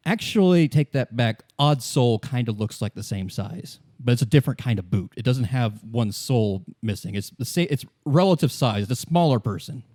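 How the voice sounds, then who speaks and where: A man narrating an improvised tabletop game as game master, in a halting, conversational way. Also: medium pitch, lively intonation, dark tone, no echo, clean studio sound.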